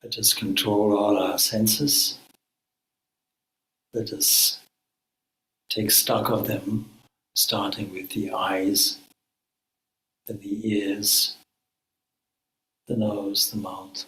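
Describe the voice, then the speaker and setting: Slow, low-voiced man guiding meditation in echoey room. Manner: calm, measured phrasing with frequent pauses. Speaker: swami, guided meditation leader. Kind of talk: guided meditation.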